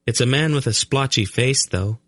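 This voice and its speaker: A man voicing an uncanny young boy in a storybook, explaining things calmly and matter-of-factly. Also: mid-range voice, moderate pace, no echo, studio quality, voice pushed up, lightly acted. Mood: calm, knowing. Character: calm, uncanny young blond boy who reads minds.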